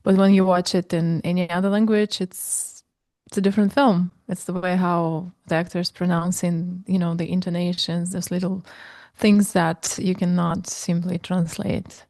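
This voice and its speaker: A woman hosting a podcast interview, responding with quick agreement and musing on the conversation. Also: lowish voice, level tone, darkish tone, dry recording. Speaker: podcast host.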